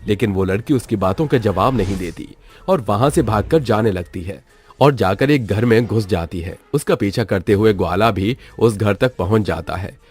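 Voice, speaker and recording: A man's voice: on the low side, moderate pace, a little mellow, breathy edge. A man narrating a scripted story as a storyteller.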